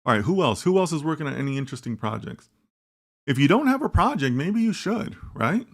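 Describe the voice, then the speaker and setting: A man's voice: on the high side, big pitch swings, fairly quick. A man hosting a casual data science livestream, thinking aloud in a rambling, conversational way and tagging his points with "right?". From United States. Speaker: host of a live data science chat. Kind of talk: casual livestream chat reading viewer comments.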